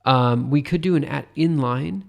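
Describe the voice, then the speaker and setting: A man's voice: a little high, normal speed, creaky, a little mellow. Stuffy-nosed man rambling through live coding. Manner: rambling, hesitant, self-deprecating. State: stuffy nose; tired. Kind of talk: casual live coding commentary, thinking aloud.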